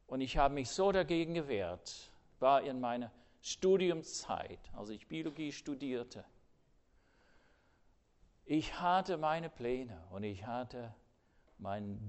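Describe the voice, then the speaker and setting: An older man preaching a sermon earnestly, swinging between loud emphasis and hushed, quiet asides. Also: mid-range voice, creaky.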